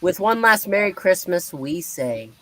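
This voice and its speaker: A man's voice: sits high, big pitch swings, unhurried, fairly bright tone, dry recording, poor audio. A man hosting a comic show, casually addressing viewers in a sign-off. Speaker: show host.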